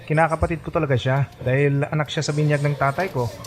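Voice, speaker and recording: A man's voice: fairly high pitch, steady tone, fast, lightly acted. A man playing a protective, teasing college-age best friend in a Filipino radio drama, breezy and playful. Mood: earnest, protective. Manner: breezy, playful, laughs often. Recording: music bed under dialogue.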